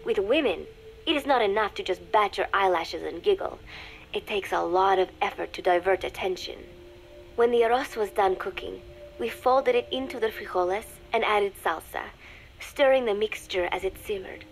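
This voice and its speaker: A woman voicing a young undercover spy in a video game, dictating a private diary in a measured, confiding, dryly scornful way. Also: mid-range voice, vocal fry, slight background noise, close to an everyday voice, poor audio. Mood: guarded, scornful. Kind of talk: scripted dramatic diary narration for a game.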